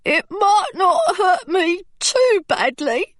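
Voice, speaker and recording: A woman's voice: very high-pitched, big pitch swings, slow, fairly bright tone, no room sound, studio quality, pitched above the performer's own voice, full character voice. A woman voicing a nervous, small male stone gargoyle who talks in a hesitant, timid way.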